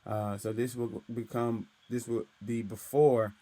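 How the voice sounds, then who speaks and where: A young man with a deep voice casually explaining recording gear, talking into his studio mic and tagging his points with "right?". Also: flat delivery, unhurried, warm tone, no echo. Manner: explains loosely with frequent "right?" and "uh". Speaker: recording engineer teaching. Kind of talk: casual tutorial walkthrough. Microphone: studio microphone through his interface.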